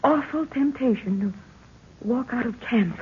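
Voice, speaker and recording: Anxious young heroine, muffled. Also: female voice, a little high, takes it slowly. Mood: anxious, haunted. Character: young woman, professor's daughter, anxious, haunted, affectionate. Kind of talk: old-time radio drama acting. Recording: dull, noisy vintage radio recording.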